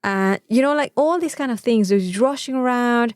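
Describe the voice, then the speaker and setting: A woman chatting casually as a podcast co-host, animated and free with swearing. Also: a little high, normal speed, clean tone, no room sound, studio quality. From Mexico. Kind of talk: casual chat.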